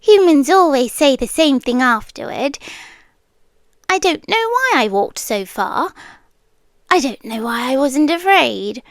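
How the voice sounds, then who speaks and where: A woman's voice: high-pitched, sing-song, dry recording, studio quality, heavily acted. A British woman voicing an ancient, possessive siren captor, sweetly menacing, in an intimate roleplay ASMR monologue that often drops toward a whisper. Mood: dreamy, possessive. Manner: slow, coaxing, often dropping into whispers.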